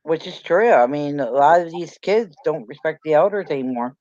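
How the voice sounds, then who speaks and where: A woman's voice: low-pitched, lively intonation, normal speed, darkish tone, no room sound, muffled recording. A woman hosting a casual livestream chat, agreeable and laughing between her remarks. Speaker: livestream host.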